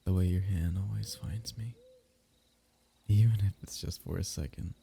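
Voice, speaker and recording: A young man speaking softly and intimately in an ASMR roleplay, teasing and sometimes dropping into a whisper. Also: deep voice, steady tone, moderate pace, creaky, a little hiss or hum.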